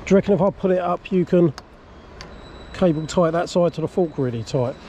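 A man in his forties, an excitable vlogger talking on a river while riding. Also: fairly high pitch. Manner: excitable, laughing, thinking aloud. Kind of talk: excited outdoor commentary. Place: outdoors on a river. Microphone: action camera. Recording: loud outdoor noise. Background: rushing water and outdoor noise.

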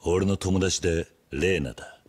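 A man acting a stoic, guarded but protective yakuza in a Japanese video game drama, speaking in a terse, gruff, blunt way. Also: deep voice, moderate pace, darkish tone, no room sound. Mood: guarded, serious.

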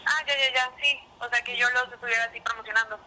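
A woman's voice: on the high side, steady tone, fast, bright, forceful tone, slight background noise, poor audio. A young woman answering hesitantly in a phone conversation, heard through a telephone speaker. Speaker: person answering a phone call.

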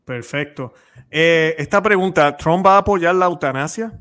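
A man's voice: a little high, moderate pace, a bit bright, smooth. A man in his thirties hosting a live-stream interview, speaking in a friendly way and taking audience questions on a close studio mic. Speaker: host. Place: room at home with religious images. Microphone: studio mic close to mouth.

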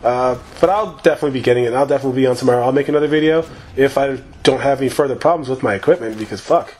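A man giving loose, profane, rambling commentary over his own gameplay, his voice slightly hoarse after a long session. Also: mid-range voice, rapid-fire, a little mellow. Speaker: gaming YouTuber.

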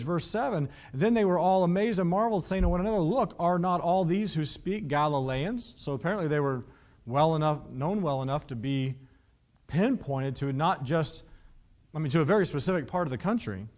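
Man in his thirties, preacher, slightly high voice, lively rhetorical questions, studio stage sermon. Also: fairly quick. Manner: animated, asks the listeners rhetorical questions. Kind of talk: Bible teaching sermon. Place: studio stage with painted backdrops.

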